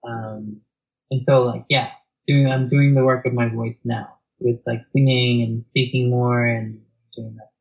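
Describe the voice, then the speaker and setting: A soft-spoken man, talking reflectively as an interview guest, hesitant with many fillers and restarts. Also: mid-range voice, little pitch movement, unhurried, vocal fry, narrow, tinny audio.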